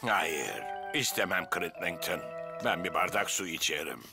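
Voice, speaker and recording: A fussy cartoon boss with a very animated, flustered delivery. He hesitates and hems as he talks. Faint music plays underneath. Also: low-pitched, unhurried, creaky, exaggerated voice. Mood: resigned. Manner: hesitant, hemming. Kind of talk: dubbed children's cartoon acting.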